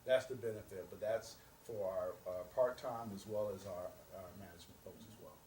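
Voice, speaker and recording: A man's voice: sits low, a little fast, darkish tone. A man being interviewed as a company representative, explaining things earnestly and promotionally and repeating his key points. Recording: roomy echo, distant mic, faint noise.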